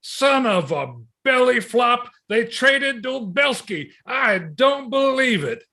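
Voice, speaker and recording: A performer acts out an irascible middle-aged father in a staged comedy reading. The voice is forceful and creaky, full of blustering, exasperated mock cursing from a man who is always grumbling. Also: mid-range voice, sing-song, slow pace, full character voice. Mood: indignant, sarcastic. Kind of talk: readers theatre comedy reading.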